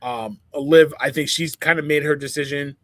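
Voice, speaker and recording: A man's voice: on the high side, steady tone, normal speed, fairly bright tone, no room sound. A man in his forties co-hosting a casual wrestling podcast, chatting emphatically and repeating phrases to drive them home. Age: 40s. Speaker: podcast co-host. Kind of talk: casual sports-entertainment chat.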